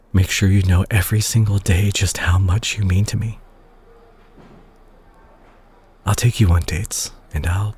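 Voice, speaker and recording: A man playing a remorseful, tender doctor and ex-boyfriend in an intimate roleplay audio drama, speaking softly and hesitantly with a husky voice. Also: sits low. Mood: earnest, pleading. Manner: hesitant, soft-spoken, halting.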